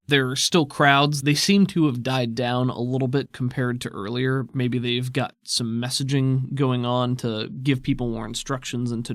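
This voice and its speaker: A man narrating a tabletop role-playing game as game master, in a relaxed, conversational way. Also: medium pitch, no room sound, clean studio sound.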